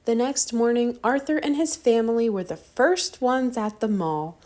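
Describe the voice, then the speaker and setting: A woman's voice: on the high side, moderate pace, mellow. A woman narrating a children's picture book aloud. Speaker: storybook reader.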